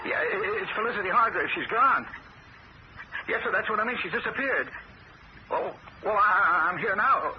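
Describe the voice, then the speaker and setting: A man's voice: high-pitched, animated, moderate pace. An actor playing a kindly, guilt-ridden young man who stammers earnestly and narrates his own story, in an old radio drama. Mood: frantic. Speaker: narrator and lead. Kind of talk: 1970s radio drama acting. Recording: dull, very hissy old radio broadcast.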